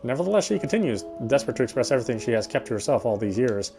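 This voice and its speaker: A man's voice: mid-range voice, sing-song, racing, vocal fry. Man reading a story aloud over music. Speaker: player reading a game's narration aloud. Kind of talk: expressive read-aloud narration of a visual novel. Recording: game music behind the voice.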